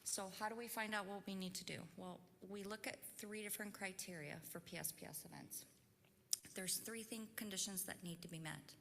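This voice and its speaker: A soft-spoken woman from a utility explaining preparedness at a public forum in an orderly way. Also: mid-range voice, steady tone, fairly quick, creaky. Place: large meeting hall. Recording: reverberant hall with faint noise.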